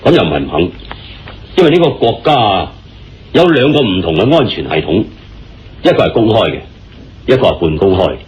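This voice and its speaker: Casting: commanding senior police chief, radio drama, Hong Kong Cantonese, lowish pitch with huge theatrical swings. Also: male voice, very animated, a little mellow. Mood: grave, cautioning. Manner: theatrical, hearty, deliberate. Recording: muffled lo-fi radio drama with music.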